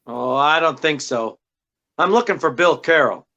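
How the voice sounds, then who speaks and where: A man's voice: on the high side, expressive, moderate pace, bright, forceful tone. A man playing a tough, wisecracking private detective in 1940s radio style, brusque, slangy and commanding, on a dull recording. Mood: tough, pressing. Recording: dull, band-limited sound.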